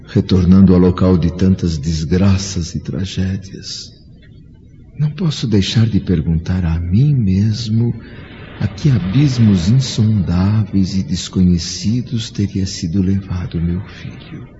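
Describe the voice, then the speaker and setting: Deep, slow, anguished Roman senator. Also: male voice, warm tone. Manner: solemn, theatrical, brooding. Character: anguished Roman senator, grieving father full of remorse. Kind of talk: radio drama monologue. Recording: muffled recording with background noise.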